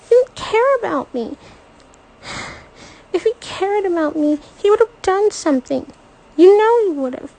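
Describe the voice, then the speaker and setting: A woman voicing a teenage girl who is crying and furious in her grief, with shrill, sobbing outbursts. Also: darkish tone, full character voice, low-quality recording.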